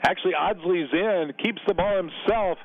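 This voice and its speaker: A man calling a college football game on radio from a stadium press box, brisk on the plays and relaxed when chatting with guests. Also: high voice. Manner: brisk play calls mixed with relaxed booth chat. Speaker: radio play-by-play announcer. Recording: narrow phone-like radio broadcast.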